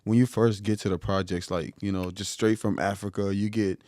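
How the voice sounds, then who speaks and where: A man's voice: on the low side, level tone, fairly quick, darkish tone, dry recording, studio quality. Laid-back male podcast host, slangy. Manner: laid-back slang, "you know what I'm saying", "man", "bro". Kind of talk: casual interview chat.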